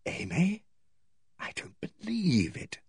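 A stunned, distressed gentleman doctor from a detective novel, acted by a male narrator. His speech is strained and disbelieving, with a lively pitch range and no room sound around it. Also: breathy edge, no room sound, studio quality. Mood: dazed. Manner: strained, halting. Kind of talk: audiobook character voice.

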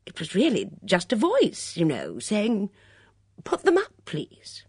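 Woman putting on a breathless, flustered, higher-pitched dithering lady. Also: huge pitch swings, takes it slowly, a little mellow, breathy edge, no room sound, studio quality, full character voice. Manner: breathless, hesitant, rambling. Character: flustered, good-natured, vague, dithering middle-aged woman.

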